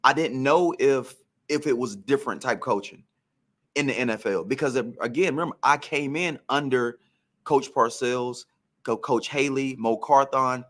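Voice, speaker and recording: A man's voice: medium pitch, normal speed, a bit bright. A man, a former pro football receiver, telling career stories on a podcast in a reflective, emphatic way.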